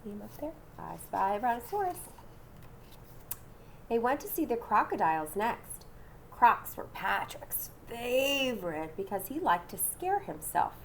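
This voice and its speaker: Female storybook reader: unhurried, lively swooping intonation, dramatic, engaging young listeners directly. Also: on the high side, vocal fry, slight background noise. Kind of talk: expressive children's read-aloud with asides to young listeners.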